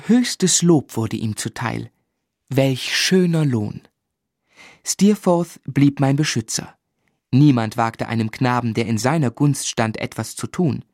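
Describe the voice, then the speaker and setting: An actor playing a grown man who fondly recalls his schooldays, narrating a literary radio drama in a measured, reflective way. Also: medium pitch, darkish tone, dry recording, clean studio sound.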